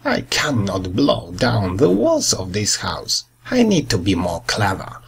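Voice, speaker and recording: A man voicing a boastful, sly, greedy big bad wolf in a storybook tale, raspy and theatrical. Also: lowish voice, huge pitch swings, measured pace, a bit bright, pitched below the performer's own voice, exaggerated voice. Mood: scheming. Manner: scheming, theatrical.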